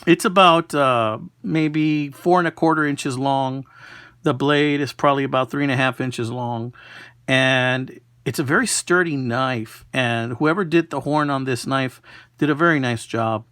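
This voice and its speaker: A man's voice: medium pitch, measured pace, fairly bright tone, clear, resonant tone, dry recording, clean studio sound. A man talking casually and unhurriedly, like a collector presenting an item in a homemade video. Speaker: knife collector presenting a find. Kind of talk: casual product showcase.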